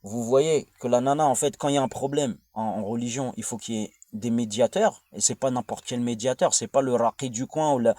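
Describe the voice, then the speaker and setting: A man's voice: mid-range voice, fairly quick, few pauses, warm tone, no echo. A man from France with a French accent, delivering a rambling informal monologue in a blunt, crude, slangy way, often digressing and repeating himself. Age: adult, father of a young child. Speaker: vlogger.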